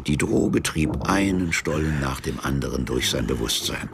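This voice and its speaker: A man narrating a literary radio drama in a measured, detached and deliberate manner. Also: extremely low pitch, lively intonation, creaky, background noise, exaggerated voice. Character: detached literary narrator of a drugged man's ordeal. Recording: music under the voice.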